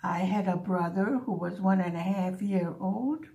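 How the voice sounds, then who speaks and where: A woman in her seventies, a native Hungarian speaker who grew up in the USA, chatting casually and reminiscing with pauses as she works on a craft. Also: on the low side, creaky, mellow, muffled recording. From born in Hungary, grew up in the USA. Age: 70s. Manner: unhurried, pausing storytelling. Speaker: craft vlogger.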